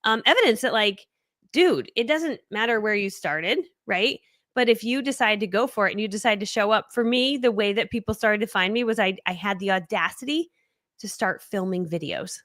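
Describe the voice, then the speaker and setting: A woman's voice: mid-range voice, moderate pace, fairly bright tone, no echo, clean studio sound. A woman guest in a casual interview, chatting in a bubbly way with frequent laughs and fillers. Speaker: guest speaker.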